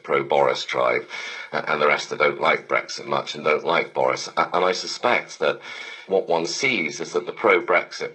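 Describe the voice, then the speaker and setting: Very deep, raspy male analyst. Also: pushed and bright, poor audio. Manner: conversational, emphatic, repeating phrases. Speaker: political correspondent. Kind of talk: unscripted spoken news analysis. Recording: digital shortwave radio broadcast reception.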